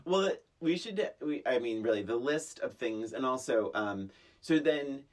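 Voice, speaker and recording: A woman's voice: extremely low pitch, lively intonation, unhurried, a little mellow. A middle-aged woman co-hosting a casual, comedic film recap, incredulous and emphatic, often breaking off mid-thought. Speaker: podcast co-host.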